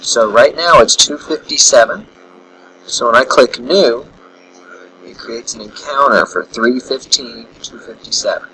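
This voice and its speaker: A man's voice: mid-range voice, measured pace, poor audio. A man narrating a casual, step-by-step screen tutorial as an instructor, thinking aloud as he demonstrates. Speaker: instructor, software trainer. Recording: bright recording with faint background noise.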